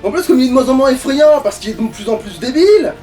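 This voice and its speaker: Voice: sits high, big pitch swings, fast talker, warm tone. Gamer muttering and swearing thru a let's play, mic kinda far off, game music behind. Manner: sweary, grumbling. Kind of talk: casual gameplay commentary. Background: video game music.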